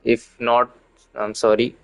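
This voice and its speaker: A man's voice: medium pitch, monotone, takes it slowly, creaky, lo-fi sound. A man who streams games live, chatting casually with his viewers.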